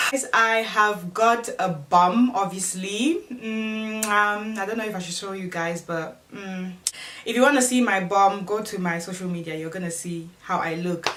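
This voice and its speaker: Woman, Cameroonian English accent, twenties, casual vlog chat, medium pitch, distant mic, echoey room. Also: fairly bright tone, slight background noise. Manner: chatty, reads questions aloud and answers them. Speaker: vlogger.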